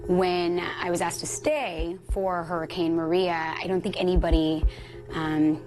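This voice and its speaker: Low-voiced woman from Puerto Rico. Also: level tone, unhurried, darkish tone, low-quality recording. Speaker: television news correspondent. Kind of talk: personal recollection in an interview. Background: music.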